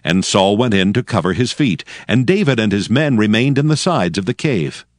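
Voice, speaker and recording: Deep, expressive male scripture narrator. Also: no echo, clean studio sound. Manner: measured, formal storytelling. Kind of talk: solemn dramatized scripture narration.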